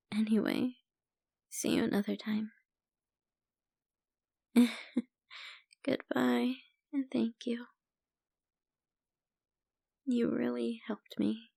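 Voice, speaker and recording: Troubled young female painter, played by a woman, ASMR roleplay. Slow, soft, hesitant delivery with a slightly creaky edge. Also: fairly high pitch, no echo, clean studio sound, full character voice. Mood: grateful, gentle. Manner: halting, soft-spoken, with sighs and trailing phrases. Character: troubled young woman painter, a stranger venting family worries. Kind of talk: ASMR roleplay, intimate monologue.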